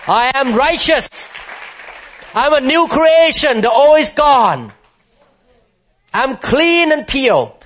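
High-voiced man preaching emphatically. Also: big pitch swings, slow, pauses often, fairly bright tone, a faint crowd. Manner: emphatic preaching, rising to high impassioned declarations; calls for congregation responses. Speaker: pastor. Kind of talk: sermon. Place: room with a congregation. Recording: muffled lo-fi sermon recording.

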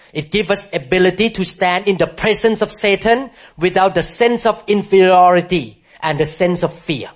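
A man with a high voice preaches to a congregation, pressing his points with emphatic, impassioned declarations and calling for responses from the room. The recording is dull and lo-fi, with a muffled top end. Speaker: pastor. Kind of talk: sermon.

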